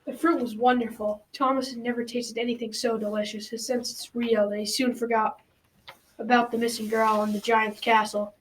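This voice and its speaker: A young female student playing a grandfather who tells a folktale in an amateur school radio drama, in a measured storytelling way. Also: a little high, level tone, close to an everyday voice, low-quality recording. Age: child or teen. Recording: faint background noise.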